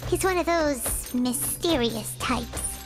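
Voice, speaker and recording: A woman voicing a tiny, excitable floating companion girl who talks about herself in the third person, in video game dialogue. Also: high voice, takes it slowly, over music. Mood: curious.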